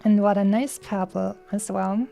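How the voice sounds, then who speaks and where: A woman's voice: mid-range voice, steady tone, measured pace, vocal fry. A woman game streamer giving casual, enthusiastic commentary in a rambling, hesitant way. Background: soft game music.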